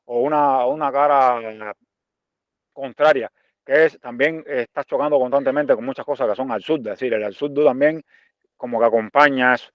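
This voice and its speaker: A Cuban man of forty-five giving reflective interview answers haltingly, repeating phrases as he searches for words. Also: mid-range voice, no room sound, highs cut off. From Cuba. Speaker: interview guest, visual artist and art teacher.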